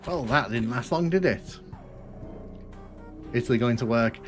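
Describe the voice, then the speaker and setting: A man's voice: mid-range voice, unhurried. A man from Northern England with a Manchester accent, chatting casually to viewers while he plays through a game on a live stream, rambling and correcting himself as he goes. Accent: Manchester English. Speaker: gaming streamer. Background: game music.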